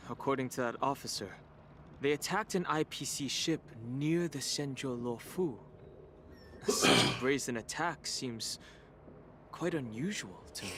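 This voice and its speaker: An actor playing a calm, thoughtful travelling companion in a video game. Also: male voice, mid-range voice, unhurried, background noise, lightly acted.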